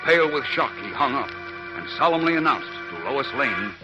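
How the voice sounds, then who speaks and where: A man's voice: mid-range voice, lively intonation, moderate pace, highs cut off. A man narrating a 1940s radio adventure serial as announcer, in an emphatic, formal, suspenseful style. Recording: old radio broadcast with music.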